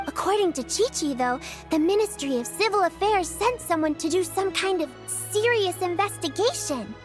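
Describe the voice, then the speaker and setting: Cheerful little girl game character, super high voice, kinda singsong and caring, music behind. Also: expressive, moderate pace. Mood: earnest, explaining. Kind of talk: video game character dialogue.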